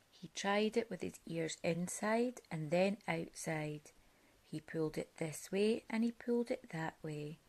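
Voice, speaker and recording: Slow-paced woman reading a storybook. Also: on the low side. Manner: deliberate, expressive storytelling. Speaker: parent reading a picture book aloud. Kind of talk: storybook read-aloud for children.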